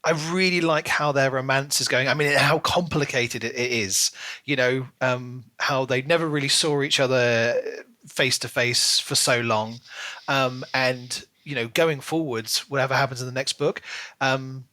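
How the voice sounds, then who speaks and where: A man's voice: mid-range voice, fairly even intonation, normal speed, sharp tone, dry recording. A man co-hosting a podcast, chatting casually and animatedly about books. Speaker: podcast co-host. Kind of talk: casual book discussion.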